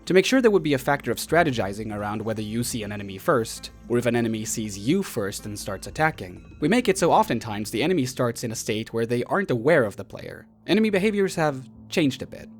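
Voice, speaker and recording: A man's voice: medium pitch, sing-song, quick pace, hardly any pauses. A man reading a quotation aloud as a guest reader in a scripted video. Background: faint background music.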